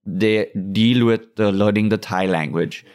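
Low-voiced man hosting an interview. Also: measured pace, a little mellow. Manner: affirming, lots of "yeah". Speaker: interviewer host. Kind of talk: casual interview.

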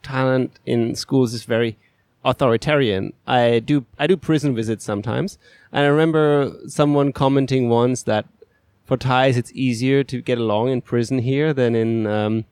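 A man interviewing a guest, asking measured, thoughtful questions in a conversational way. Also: mid-range voice, steady tone, a little mellow, smooth, dry recording, studio quality.

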